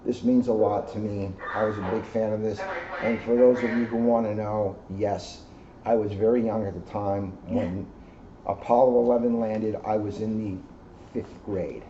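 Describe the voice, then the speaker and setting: A man in his sixties, a police officer reading aloud to children and commenting informally in an earnest, expressive way. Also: fairly low pitch, normal speed. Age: 60s. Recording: muffled, noisy amateur video sound.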